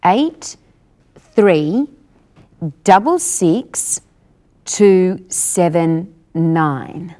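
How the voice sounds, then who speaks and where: A woman's voice: fairly low pitch, lively intonation, very slow, long pauses, mellow. A woman presenting a scripted English lesson with careful, instructional delivery. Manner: didactic, careful articulation.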